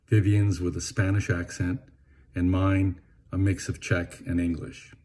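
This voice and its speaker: An older man who grew up in Venezuela, delivering a measured, gently humorous eulogy and dropping his voice on sad words. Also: sits low, slight background noise. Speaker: eulogy speaker. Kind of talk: read eulogy.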